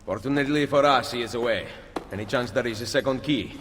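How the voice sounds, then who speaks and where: An actor playing a resolute, wry Renaissance assassin in a video game, calm and measured. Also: male voice, medium pitch, a little hiss or hum, close to an everyday voice. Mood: dry, brisk. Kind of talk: video game cutscene acting.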